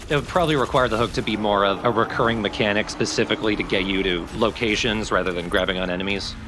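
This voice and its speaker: A man's voice: lowish voice, steady tone, fast talker, background noise. A man co-commentating casually on a video game. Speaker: let's play co-commentator. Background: video game sound.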